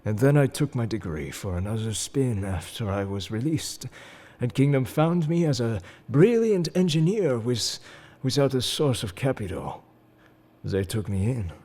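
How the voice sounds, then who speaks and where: An enigmatic, suave game agent, acted by a man, speaks low and very slowly in an intimate roleplay. His husky, breathy voice slips toward whispers. He sounds wounded yet devoted, confessing haltingly to the listener. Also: warm tone. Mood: wistful, confiding. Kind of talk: intimate scripted roleplay audio addressed to the listener.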